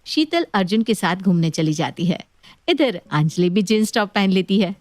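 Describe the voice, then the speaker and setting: A woman's voice: mid-range voice, expressive, normal speed, no room sound. A woman narrating a scripted domestic tale as storyteller.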